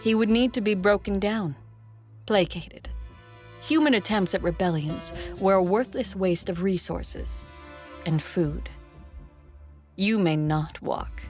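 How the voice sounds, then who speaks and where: A woman's voice: mid-range voice, darkish tone. A woman playing an imperious, disdainful, ancient female vampire conqueror in an audio drama. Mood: commanding. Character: haughty two-thousand-year-old female vampire conqueror. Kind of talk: audio drama narration and acting. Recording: background music under voice.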